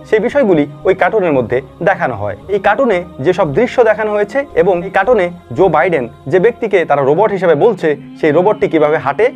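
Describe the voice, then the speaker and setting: Quick, higher-pitched man over music. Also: a little mellow. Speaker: video narrator and commentator. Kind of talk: informal explanatory commentary with religious exhortation. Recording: dull lo-fi voice track over music.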